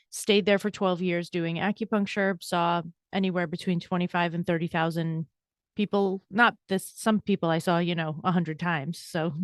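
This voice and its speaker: Candid, brisk woman telling her story. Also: on the low side, dry recording, clean studio sound. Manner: candid, brisk, self-deprecating. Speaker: guest, burnout coach and speaker. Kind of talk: podcast interview, conversational storytelling.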